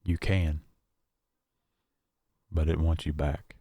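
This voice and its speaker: A man playing a shaken, paranoid survivor recording a horror audio log, speaking haltingly with long pauses. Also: very deep voice, level tone, warm tone, husky, dry recording, heavily acted. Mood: fearful, pleading. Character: shaken, paranoid male survivor of a nightmare realm.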